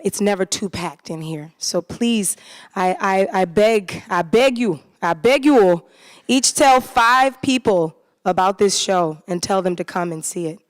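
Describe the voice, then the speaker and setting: A woman's voice: fairly low pitch, dry recording, studio quality. A woman from the United States, a cast member speaking earnestly and from the heart at a post-show talkback on a theater stage. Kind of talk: post-show panel talk.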